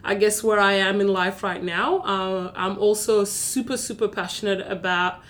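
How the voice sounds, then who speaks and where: A woman from South Sudan speaks as a podcast guest in an earnest, thoughtful way. Her pitch sits in a middle register for a woman. She comes across as proud of what she does. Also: fairly even intonation, moderate pace, fairly bright tone, slight background noise. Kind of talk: conversational interview.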